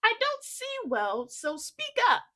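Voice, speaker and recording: A woman's voice: high-pitched, wildly swooping pitch, slow, bright, forceful tone, pitched above the performer's own voice, full character voice. A woman voicing a bossy, nearsighted giant wife in a children's storybook.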